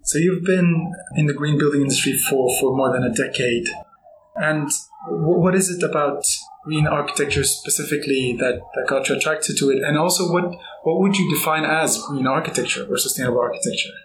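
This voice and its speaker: A man hosting a podcast, reading a guest introduction steadily and then asking questions with soft backchannel replies. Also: fairly high pitch, brisk, slight background noise, low-quality recording. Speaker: podcast host. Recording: faint music behind.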